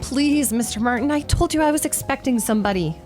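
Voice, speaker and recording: Prim young miss played by a woman, higher-pitched, easily flustered and cutting, for radio romantic comedy. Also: brisk, darkish tone, soft background music, lightly acted. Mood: indignant, nervous. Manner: stammering, indignant, cutting. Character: prim, flustered, sharp-tongued young single woman awaiting a date. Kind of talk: old-time radio romantic comedy acting.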